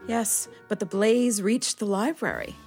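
A woman playing a wise, dignified badger abbess who speaks with formal, measured authority in a children's audio drama. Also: medium pitch, darkish tone, soft background music, natural voice. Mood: dignified, grave.

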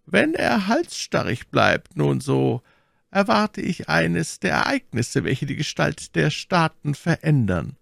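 A man voicing a powerful, calculating cardinal in a storybook reading, pompous, measured and commanding. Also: on the high side, big pitch swings, gravelly, fairly bright tone, dry recording, studio quality, voice pushed up, exaggerated voice. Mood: imperious, probing. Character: powerful, calculating male cardinal, lofty and menacing.

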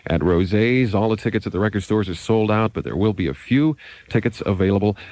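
A man's voice: lowish voice, fairly quick, darkish tone, no echo. A man working as a radio DJ and interviewer, announcing in a 1980s rock radio style on an old hissy cassette.

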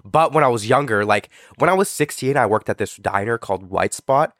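A man's voice: mid-range voice, expressive, a little fast, fairly bright tone, no echo, studio quality. A man of about twenty-one, co-hosting a podcast and telling stories casually with slang, swearing and repeated phrases for emphasis. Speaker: podcast co-host. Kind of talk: casual chat, storytelling.

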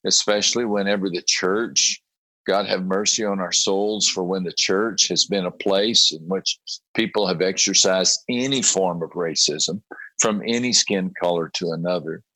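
Raspy low-voiced pastor, halting. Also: male voice, fairly even intonation, bright, forceful tone, no room sound, low-quality recording. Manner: halting, repeats words and clears throat often. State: raspy voice after a late night. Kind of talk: conversational interview about a prayer group.

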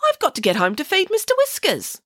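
A woman doing a grumbling old lady from a storybook. She complains bluntly in a raised, lively pitch. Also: fairly quick, no echo, studio quality, heavily acted.

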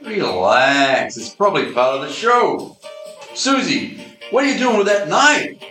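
Casting: a man playing a goofy, bumbling detective, lively eager voice, amateur radio play, roomy room with music under. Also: high-pitched, a little slow, full character voice. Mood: excited. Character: adult male supernatural detective, bumbling and easily impressed.

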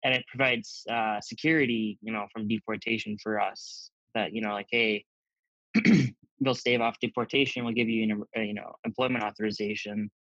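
A man's voice: lowish voice, fairly even intonation, normal speed, a bit bright, no room sound, muffled recording. A young man from Zambia raised in the Midwest, talking easily in a casual interview with lots of "you know". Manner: easygoing, many fillers like "you know".

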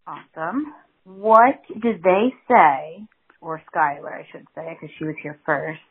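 A woman's voice: low-pitched, expressive, a little slow, husky. A woman hosting a casual interview podcast, teasing and laughing often. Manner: giggly, teasing. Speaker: podcast host. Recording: tinny phone-line sound.

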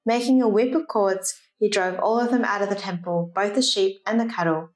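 A woman reads scripture in a formal, liturgical style. Her voice is mid-pitched, and soft music plays faintly behind it. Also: a little fast. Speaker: scripture reader. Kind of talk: formal liturgical Gospel reading.

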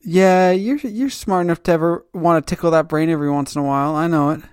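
A man's voice: fairly high pitch, fast talker, clean tone, no echo, clean studio sound. A man hosting a casual podcast about his comic, teasing and joking with his co-host. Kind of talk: casual chat.

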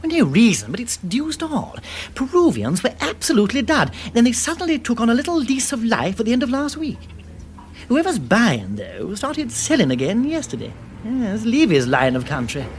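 An actor playing a hearty, slangy upper-class English stockbroking gentleman in a radio drama, blustering and emphatic. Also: very high voice, wildly swooping pitch, moderate pace, a little hiss or hum, full character voice. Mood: hearty, confiding.

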